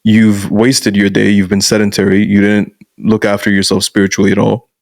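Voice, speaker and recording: A young man with a fairly low voice talks bluntly and casually as a podcast guest, dropping "bro" into his points. He grew up in Canada. The sound is dry and close, with no room echo. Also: completely flat delivery, normal speed, gravelly. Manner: frank, blunt, peppers speech with "bro". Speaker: guest masculinity coach.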